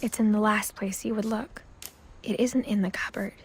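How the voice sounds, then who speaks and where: An actress narrating a video game as a wistful young woman recalling her family's past, soft and often close to a whisper. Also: mid-range voice, fairly even intonation, moderate pace, a little hiss or hum, lightly acted. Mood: reflective. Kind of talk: scripted narration. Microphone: studio voice-over.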